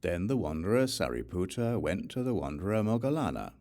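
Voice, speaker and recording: A man reading scripture as an audiobook narrator, in a measured storytelling way with expressive dialogue. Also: low voice.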